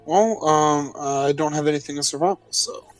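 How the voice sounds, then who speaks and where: A man's voice: on the high side, normal speed, recorded from a distance, rough recording. A man chatting casually as a player in a tabletop roleplaying game. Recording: off-mic, music underneath. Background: background music.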